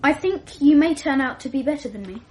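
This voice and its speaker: A woman's voice: sits high, expressive, a little fast, a little mellow, slight background noise. A woman playing a clever, self-assured twelve-year-old English schoolboy who talks in a precocious, wordy, self-deprecating way, in a radio drama. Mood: reflective. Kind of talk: 1980s radio drama acting.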